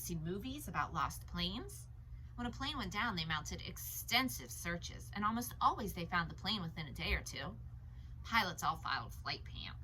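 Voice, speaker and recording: A woman narrating a novel as a read-aloud, in an expressive audiobook style. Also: fairly low pitch, low-quality recording. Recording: noticeable background noise.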